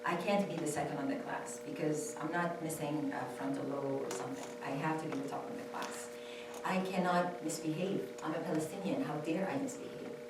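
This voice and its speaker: A woman's voice: low-pitched, steady tone, a little fast, very noisy. A woman raised in Saudi Arabia, speaking as a panelist at a temple and telling her story in earnest, repeating phrases for stress. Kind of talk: personal testimony at a panel. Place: temple hall. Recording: very echoey hall from far off.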